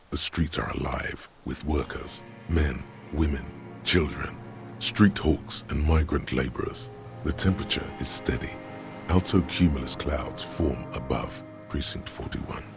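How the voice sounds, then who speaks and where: Moody detective game narrator, super deep n croaky, measured, somber, literary. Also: male voice. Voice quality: gravelly. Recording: music under the voice.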